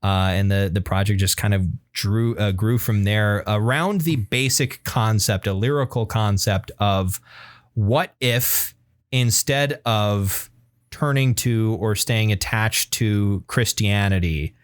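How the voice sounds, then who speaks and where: A man hosting a casual podcast discussion about music, speaking in a halting way as he searches for his words. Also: lowish voice, clear, resonant tone, dry recording, studio quality. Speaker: podcast host.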